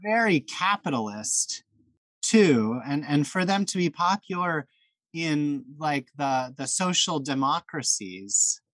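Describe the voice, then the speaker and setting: A man's voice: on the high side, expressive, slow pace, fairly bright tone, dry recording, poor audio. A man hosting a podcast, chatting in a friendly, enthusiastic way with frequent restarts and fillers. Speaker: podcast host. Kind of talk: casual podcast chat.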